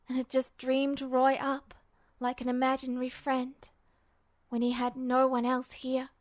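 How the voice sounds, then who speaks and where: A woman's voice: high voice, no room sound, clean studio sound. A woman narrating fiction in a dramatic, emotive style, like an audiobook reader. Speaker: podfic reader.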